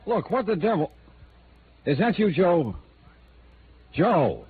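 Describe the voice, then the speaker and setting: A man's voice: high voice, very animated, slow pace, background noise, heavily acted. An American man acting a tough, skeptical insurance investigator in a radio noir drama, with a wry, clipped, hard-boiled delivery. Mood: irritated. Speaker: radio drama lead and narrator. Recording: tinny vintage radio recording with music.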